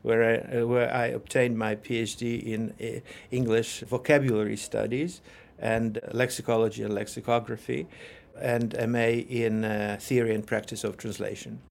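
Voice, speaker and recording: A man, a lecturer and linguist, explaining pronunciation in a measured, precise way with pauses and fillers. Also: medium pitch, flat delivery, creaky. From Ukraine. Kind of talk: explanatory interview answers.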